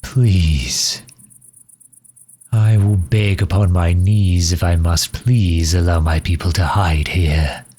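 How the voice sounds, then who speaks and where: A man playing a courteous, earnest vampire leader in an audio roleplay, speaking softly with formal, old-fashioned politeness. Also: deep voice, fairly even intonation, slow. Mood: pleading. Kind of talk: scripted ASMR roleplay, addressing the listener.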